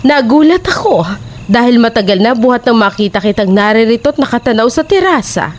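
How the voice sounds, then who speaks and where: A woman's voice: fairly high pitch, lively intonation, a little hiss or hum, lightly acted. A woman playing a sly, taunting, sinister old neighbour in a horror audio drama, drawing out her words mockingly. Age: older. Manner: drawn-out, mocking, cackling between lines.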